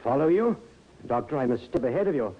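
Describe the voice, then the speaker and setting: Actor as animated, husky gentleman, radio drama. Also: mid-range voice, brisk, natural voice. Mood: alert, decisive. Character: amiable, brisk, slightly blustery English gentleman. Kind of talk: old-time radio drama acting. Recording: muffled old radio recording.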